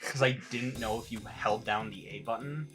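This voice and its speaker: A man's voice: medium pitch, moderate pace. Male let's play co-host, mid-range voice, casual rambling storyteller, recorded with prominent game music underneath. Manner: rambling, animated storytelling with acted quotes. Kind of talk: casual chat over video game cutscenes. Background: video game music.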